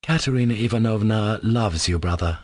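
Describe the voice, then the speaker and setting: A man's voice: medium pitch, very animated, brisk, dry recording. A man voicing a timid, tender and earnest younger brother in a dramatised novel reading. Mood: sad reproach. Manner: earnest, tender, pleading, hesitant.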